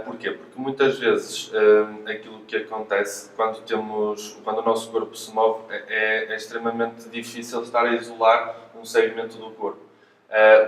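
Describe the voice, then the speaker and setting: A man's voice: lowish voice, fairly bright tone. A man with a European Portuguese accent giving careful, hesitant explanations as a physiotherapist in an interview. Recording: echoey room, distant mic, faint noise.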